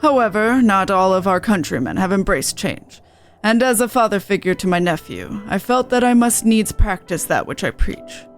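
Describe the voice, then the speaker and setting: A woman's voice: medium pitch, lively intonation, moderate pace, faint music behind, close to an everyday voice. A woman voicing a dignified older nobleman in a video game, earnest and formal with archaic phrasing. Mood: solemn, confessional. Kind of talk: amateur game dialogue read-aloud.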